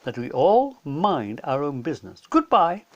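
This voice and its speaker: A wise, gently firm male professor from a children's tale, acted by a man. He reasons in a measured, questioning way, his intonation swinging widely. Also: a little high, huge pitch swings, dull audio. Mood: reasoning. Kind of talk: children's storybook character voice.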